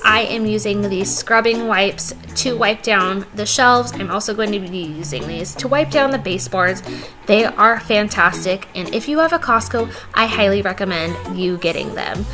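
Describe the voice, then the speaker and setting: A woman's voice: medium pitch, moderate pace. A young woman narrating her own home cleaning video in a chatty, upbeat voice-over with some self-mocking jokes. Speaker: vlogger. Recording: voice-over with loud background music.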